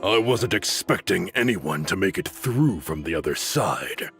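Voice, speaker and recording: An actor playing a proud, desperate desert warrior leader in a video game, speaking in a grandiose, declamatory, zealous way. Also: male voice, low voice, lively intonation, unhurried, a bit bright, soft background music, exaggerated voice. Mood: bitter, resigned. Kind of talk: dramatic video game voice acting.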